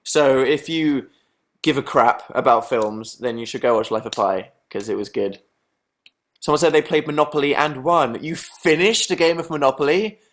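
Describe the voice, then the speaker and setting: A young man hosting a casual livestream, reading viewer comments aloud and answering them with sarcastic, deadpan, self-mocking jokes. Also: medium pitch, moderate pace. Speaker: livestream host.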